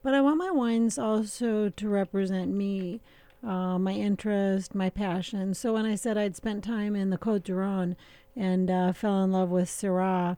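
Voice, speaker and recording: Mellow-voiced middle-aged woman winemaker. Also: mid-range voice, dry recording. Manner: relaxed and chatty, with many filler pauses and easy laughter. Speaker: winemaker guest. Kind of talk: casual radio interview.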